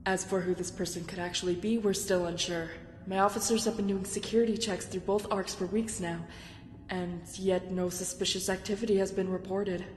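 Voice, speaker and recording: A woman playing a dutiful military admiral, formal, measured and respectful, in a sci-fi drama. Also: fairly even intonation, lightly acted. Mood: earnest. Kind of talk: sci-fi audio drama acting. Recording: echoey hall, clear background rumble.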